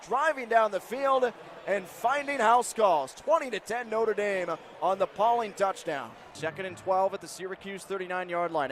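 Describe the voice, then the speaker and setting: A male American play-by-play announcer pitched very high, calling football live on the radio. His delivery is dramatic, moving between measured detail and excited calls. Loud stadium noise fills in behind him. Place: stadium broadcast booth. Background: loud stadium ambience.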